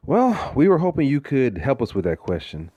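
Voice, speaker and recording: A man's voice: medium pitch, wildly swooping pitch, normal speed, warm tone, dry recording, lo-fi sound. An actor playing a driven, weary police detective and family man in a suspense audio drama, earnest in manner. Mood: businesslike.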